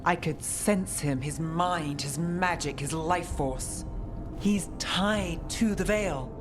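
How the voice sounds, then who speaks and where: A woman's voice: on the low side, slow, music in the background. An actress playing a weary, determined woman mage in a video game, with a restrained, dry delivery. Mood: somber, resolute. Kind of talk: dramatic video game cutscene acting.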